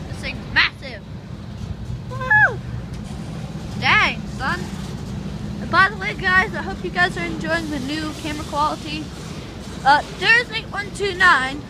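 A woman filming trains on a station platform with a handheld phone, giving excited live commentary and whooping as freight trains pass. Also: sits high. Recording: loud train noise, outdoor phone audio. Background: loud passing freight trains.

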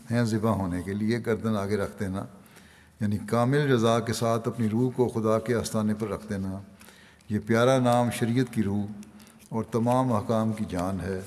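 Older man w/ pakistani urdu accent, low even sermon voice, big echoey mosque hall. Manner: measured, steady reading with solemn emphasis. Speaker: imam delivering Friday sermon. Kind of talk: sermon read from notes. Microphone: podium microphones. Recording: long reverb of a large hall.

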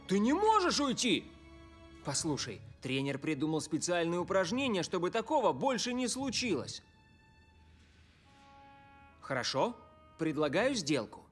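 An actor playing an earnest, persuasive young soccer player in a dubbed cartoon. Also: male voice, high-pitched, husky, exaggerated voice. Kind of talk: cartoon dub dialogue.

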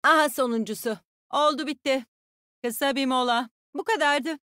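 High-voiced, brisk, tough game heroine. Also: female voice, pushed and bright, no room sound, clean studio sound. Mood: curt, satisfied. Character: tough, bossy young woman soldier who commands animals. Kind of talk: video game character voice lines.

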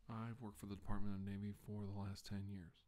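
A man in his forties doing a soft ASMR role-play of a formal deposition, speaking haltingly and formally, with hesitant pauses. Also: lowish voice, level tone. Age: 40s. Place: small indoor room, seated at a desk.

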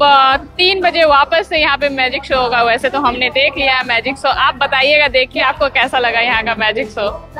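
A woman in her thirties giving a chatty travel vlog tour with a lapel mic, pausing with "uh" as she explains things to viewers. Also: high-pitched, bright, forceful tone. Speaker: travel vlogger. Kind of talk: casual travel vlog narration. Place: outdoor theme park. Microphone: clip-on lapel mic.

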